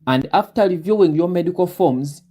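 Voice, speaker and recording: A young man with a Ugandan accent, a guest recruit talking deliberately through his advice with many fillers. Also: high voice, dark tone. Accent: Ugandan English. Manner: deliberate, breaks words down slowly with many fillers. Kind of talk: advice talk on a live Q&A.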